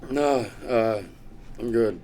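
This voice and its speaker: A man playing a withdrawn, weary young drug dealer in a table read, speaking in terse, halting phrases. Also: medium pitch, dark tone, slight background noise. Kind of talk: amateur table read of a TV script.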